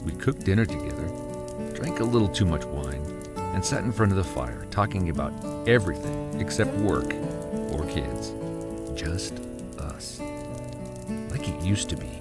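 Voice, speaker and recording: A man playing a weary husband and father, narrating a horror audio drama in the first person with dramatic pauses. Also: sits low, expressive, creaky, loud music behind the voice. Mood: wistful, relieved. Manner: slow, dramatic storytelling with heavy pauses. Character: weary husband and father recounting a terrifying cabin getaway. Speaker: narrator and actor.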